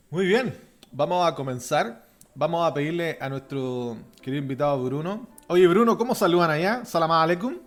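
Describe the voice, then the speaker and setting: A man with a softened Chilean accent hosting a religious podcast, chatting informally and offering blessings to listeners. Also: medium pitch. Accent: softened Chilean Spanish. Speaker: podcast host. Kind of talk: casual religious podcast chat.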